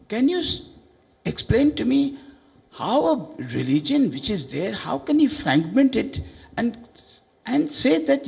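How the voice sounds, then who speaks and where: A man's voice: very high voice, gravelly, darkish tone. A man in his eighties from Sindh, asking an earnest, emphatic question from the audience at a conference, speaking into a handheld microphone in a large hall. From Sindh, Pakistan. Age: 80s. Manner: earnest, emphatic, voice rising on key points. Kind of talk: public Q&A question.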